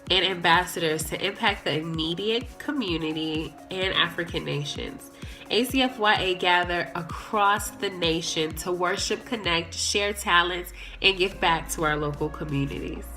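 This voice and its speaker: A young woman with a bright, forceful voice that sits a little low, speaking upbeat and playful straight to camera. Soft music plays under her as she introduces herself. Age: young adult. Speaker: young adult fellowship president. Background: soft background music.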